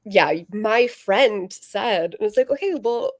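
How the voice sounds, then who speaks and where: A woman's voice: mid-range voice, animated, slow, no echo, studio quality. A woman chatting casually and informally as a co-host, often acting out imagined exchanges in her talk. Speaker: book vlogger and podcast-style co-host. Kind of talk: casual chat.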